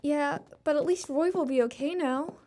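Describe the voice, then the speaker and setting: Woman as a high, soft-toned remorseful student. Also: moderate pace, a little hiss or hum, close to an everyday voice. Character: remorseful young female university student, meek and apologetic. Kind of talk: audio drama acting.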